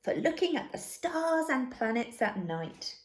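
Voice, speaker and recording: Storybook man, keen amateur stargazer, played by female reader: excitable, emphatic, high leaping intonation. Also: unhurried, reverberant. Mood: enthusiastic. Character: startled, enthusiastic stargazing man.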